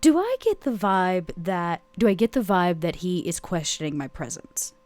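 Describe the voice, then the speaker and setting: Woman rpg player improvising what her character does, lowish voice, casual, kinda thinking out loud. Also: big pitch swings, moderate pace, no room sound. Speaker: tabletop game player.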